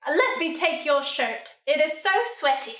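A woman's voice: high-pitched, expressive, a little slow, a bit bright. A woman playing a melodramatic young widow in an improvised comedic radio mystery. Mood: adoring. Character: melodramatic young widow, nervous murder suspect. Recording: echoey room recording.

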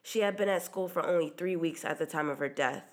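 Low-voiced woman with a stuffy cold. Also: fairly quick. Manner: casual, chatty reading with occasional ums. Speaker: podcast host. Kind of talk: true crime podcast narration.

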